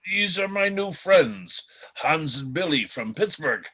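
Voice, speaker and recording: A man's voice: on the high side, big pitch swings, slow, bright, forceful tone, exaggerated voice. A man reading the part of a wry, world-weary old reindeer telling stories in a radio play. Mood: fond.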